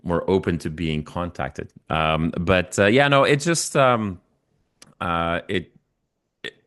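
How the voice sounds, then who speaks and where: A man in his forties from Belgium, a native Dutch speaker with a Belgian Dutch accent, talking casually in an interview in a halting, self-correcting way. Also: deep voice, a bit bright, clear, resonant tone. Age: 40s. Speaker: interview guest, music YouTuber.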